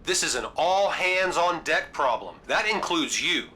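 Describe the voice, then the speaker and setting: A commanding space-corps officer, very bright and forceful and fairly high. He gives urgent military orders in video game style, with faint noise behind. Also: lively intonation, unhurried, low-quality recording. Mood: urgent, stern.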